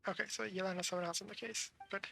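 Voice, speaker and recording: A man's voice: sits high, level tone, racing, vocal fry, bright, forceful tone, a little hiss or hum. A man giving casual gameplay commentary as a streamer. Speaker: game streamer.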